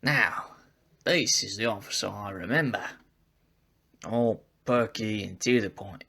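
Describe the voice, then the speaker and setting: A man's voice: on the low side, measured pace, gravelly, sharp tone, breathy edge, heavily acted. A man voicing a sly, mocking male animal criminal with a cynical drawl in an audio drama. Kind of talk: fan audio drama villain acting.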